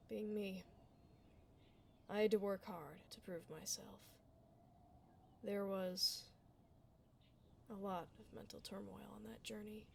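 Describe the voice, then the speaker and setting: Woman acting as a tomboy fantasy adventurer, gravelly fry, blunt yet kind, casual ASMR roleplay delivery, faint low rumble behind. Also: a little mellow.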